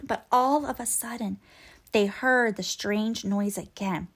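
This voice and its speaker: A woman giving an expressive read-aloud narration of a children's storybook. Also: medium pitch, slow. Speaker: storybook reader.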